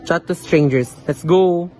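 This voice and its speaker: A man's voice: a little high, slow, faint music behind. A young man playing a lonely eighteen-year-old student who thinks he is ugly, brooding in inner monologue. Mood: frustrated. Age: young adult. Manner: brooding inner monologue turning to excited chatter. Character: lonely 18-year-old male student, self-pitying, calls himself ugly. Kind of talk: amateur radio drama acting.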